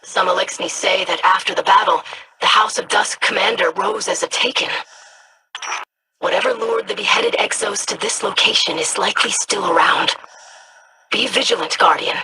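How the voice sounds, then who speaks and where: An actress voicing a calm, scholarly woman who studies dark lore in a video game, speaking in measured, formal sentences. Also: mid-range voice, noisy background, natural voice. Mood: grave.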